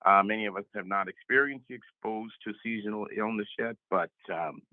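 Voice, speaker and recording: A man with a voice raspy from a cold, giving halting welcome remarks as a health director. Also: fairly low pitch, dry recording. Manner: halting, many fillers. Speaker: health director and board member. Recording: tinny phone line.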